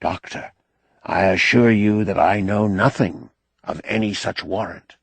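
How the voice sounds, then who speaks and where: A man voicing a measured, guarded government secretary with calm authority, formal and restrained, in an audiobook. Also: low voice, gravelly, slightly rough, dry recording, exaggerated voice. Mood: formal, reassuring. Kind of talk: audiobook character acting.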